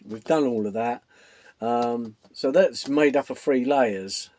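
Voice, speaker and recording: A man hosting a model-making channel, his voice croaky from a cold, walking through his build step by step in a casual way with plenty of ums. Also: mid-range voice, darkish tone. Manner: unhurried, many ums, explains while showing parts.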